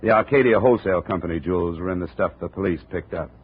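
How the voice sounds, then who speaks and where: A man's voice: sits low, rapid-fire, slightly rough. A man as a hardboiled insurance investigator, narrating and acting in a 1950s radio drama with a dry, clipped delivery. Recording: tinny old radio recording.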